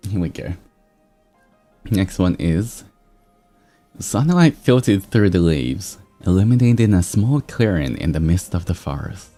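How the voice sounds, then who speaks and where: A man hosting a livestream, moving between expressive story reading and casual, amused chat. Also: sits low, measured pace, long pauses, faint music behind. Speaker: livestream host reading fan fiction aloud.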